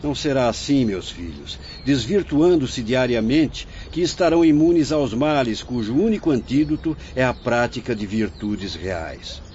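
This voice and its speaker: A man's voice: medium pitch, a little mellow, close to an everyday voice. Dull noisy recording, man acting wise fatherly spirit teacher, Brazilian accent, solemn oratory, radio drama. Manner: measured, preaching, formal oratory. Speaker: actor.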